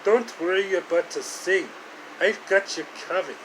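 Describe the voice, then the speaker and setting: An easygoing, devoted young best friend, played by a man, high in pitch and slow to speak. He coaxes and reassures in a storybook tale, with room hum behind him. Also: a bit bright, low-quality recording. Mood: reassuring. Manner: coaxing, reassuring. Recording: clear room hum and hiss.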